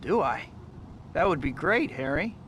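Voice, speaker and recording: A man's voice: a little high, big pitch swings, unhurried, a bit bright, slight background noise. An actor playing an earnest young male student who is apologetic and polite. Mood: friendly. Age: young adult. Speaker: student and pizza delivery worker.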